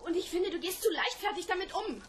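Radio play: fretful, sceptical young student, high pitch, sardonic edge, faint room sounds behind. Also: female voice, expressive, quick pace, slight background noise. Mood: worried, reproachful. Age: young adult. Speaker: actor in a radio drama. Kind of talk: naturalistic German radio play acting.

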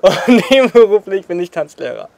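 A man's voice: high-pitched, very animated, brisk, gravelly, darkish tone, no echo. Cheerful young man who laughs often. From Portugal. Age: 20s. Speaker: interviewee, choreographer. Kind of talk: casual interview. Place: outdoors in front of a graffiti wall.

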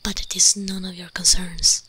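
A woman voicing an imperious, teasing vampire queen in a breathy ASMR roleplay whisper, commanding, condescending and seductive. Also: lowish voice, level tone, moderate pace, bright, forceful tone, dry recording, exaggerated voice.

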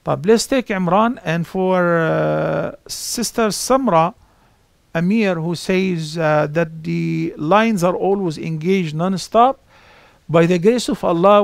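A middle-aged man calmly answering callers' questions on a live call-in show, speaking outdoors in a garden on a lapel mic. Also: sits high, vocal fry, no room sound. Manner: calm, explanatory, gestures while talking.

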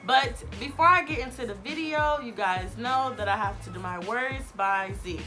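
Young woman for a chatty, encouraging bedroom vlog; crisp, bright voice a touch high, a little sniffly from a cold. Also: moderate pace, faint music behind. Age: young adult. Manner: chatty, encouraging, addresses viewers as you guys. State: recovering from illness, sniffly. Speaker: vlogger, college student. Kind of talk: casual advice vlog to camera. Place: bedroom, sitting on a bed.

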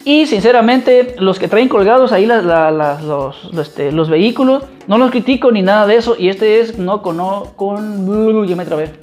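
A man from Mexico with a Mexican accent, chatting casually as a vlogger in an informal, halting, repetitive way. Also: high-pitched, darkish tone, dull audio, soft background music. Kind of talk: casual chat answering viewer questions.

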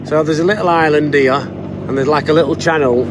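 A man calmly narrating the sailing route from a boat, matter-of-fact and mildly worried. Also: on the high side, fairly quick. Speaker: sailing family vlogger, father. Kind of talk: casual narration while sailing. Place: outdoors on a sailboat at sea. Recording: wind and water noise.

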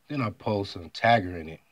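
Brief: American male vlogger, very low voice, emphatic, clears his throat a lot, dry close recording. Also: no echo. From United States. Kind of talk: monologue retelling an online argument.

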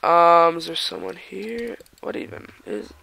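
A young man with a voice gone raspy from a cold, rambling casually while commenting on his own gameplay, sometimes muttering or whispering asides. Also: on the high side, unhurried, bright, forceful tone. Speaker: gaming channel host.

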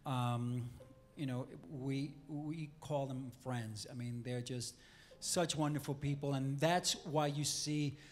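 A man's voice: medium pitch, slow, slight background noise. A man in his forties, the pastor's husband, greeting a congregation casually and a bit hesitantly into a handheld mic. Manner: relaxed, hesitant. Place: large arena church stage. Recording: reverberant hall with music.

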